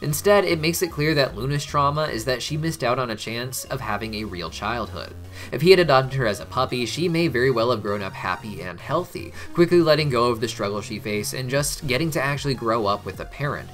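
A man's voice: mid-range voice, animated, brisk, a bit bright, soft background music. A man hosting a cartoon analysis channel, giving scripted video-essay narration in an analytical, theorizing manner. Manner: analytical, theorizing in first person. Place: voice-over over cartoon clips.

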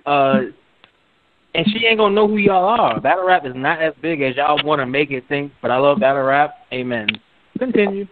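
A man's voice: a little high, expressive, normal speed, a crowd in the background. A man hosting a podcast in casual chat, joking and ranting with emphasis. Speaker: podcast host. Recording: tinny phone-like audio.

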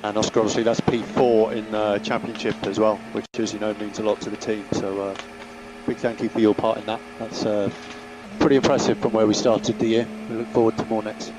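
A low-voiced man talks as a race engineer over team radio, in a procedural way. Clear car noise runs along with his voice. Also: little pitch movement, fairly quick. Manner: procedural, repeats instructions. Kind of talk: team radio instructions and feedback.

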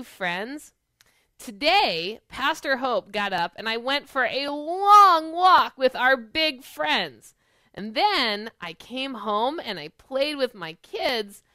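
A middle-aged woman, a children's pastor, hosting an animated storytime for preschoolers and speaking to them with questions. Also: high voice, no room sound, clean studio sound. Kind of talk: storytime for preschoolers, chat and picture-book reading. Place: small living room at home, on a couch.

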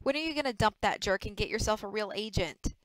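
A woman's voice: medium pitch, expressive, fast, no echo, natural voice. A woman voicing a cocky young male soap star with a flippant, boastful manner in an audiobook. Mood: cajoling.

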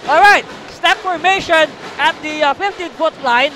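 A man calling a live basketball game from courtside, energetic and shouting on the big plays. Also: very high voice, moderate pace. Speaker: play-by-play commentator. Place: courtside booth in a packed arena. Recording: noisy arena broadcast.

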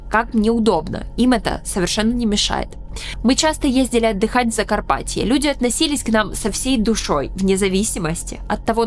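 A young woman from Ukraine, speaking straight to camera with a studio mic in a small room, narrating her own story in an earnest, measured, solemn way. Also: fairly high pitch. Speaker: channel host. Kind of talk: scripted personal narration. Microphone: studio microphone in frame.

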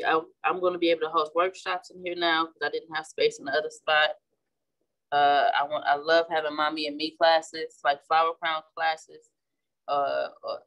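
Woman, 30s, Midwestern accent, relaxed and chatty craft instructor, often restarts mid-sentence. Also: deep voice, low-quality recording. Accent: Midwestern American. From St. Louis, Missouri. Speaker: florist and class instructor. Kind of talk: casual demonstration while painting. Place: indoor flower shop worktable.

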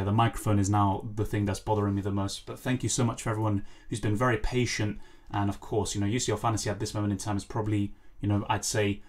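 Hoarse guy on a live stream, voice mostly gone, bit low-pitched, rambly and apologetic. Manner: apologetic, rambling, answers chat questions. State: lost voice, hoarse. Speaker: fantasy football streamer. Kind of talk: casual live stream chat, reading comments. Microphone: faulty microphone.

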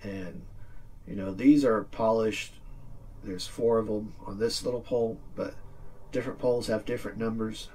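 A middle-aged man with a lowish, rough-edged husky voice presents a how-to video. He explains things informally and plainly, like a hobbyist at a table. The microphone sits a little way off from him. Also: fairly even intonation, moderate pace, mellow, far from the mic. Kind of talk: casual instructional demonstration. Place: small indoor room with green screen backdrop.

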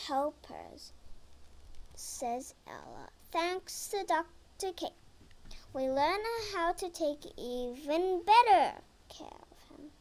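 A young girl reads a children's picture book aloud at a very slow, careful pace. Her voice is high and lively in pitch, and she sometimes trips over a word and starts it again. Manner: slow careful reading with some stumbles. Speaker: reader.

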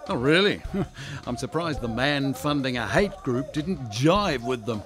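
An actor playing an exasperated male party official who speaks with dry sarcasm and strained calm. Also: medium pitch, a little slow, close to an everyday voice. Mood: sarcastic.

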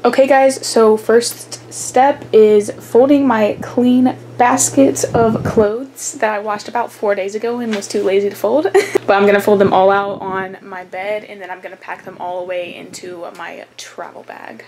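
A woman's voice: mid-range voice, level tone, a little slow, music in the background. A young woman chatting to her viewers in a casual vlog, giggly and talkative, recorded on a handheld camera in a small bedroom. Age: young adult. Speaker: vlogger.

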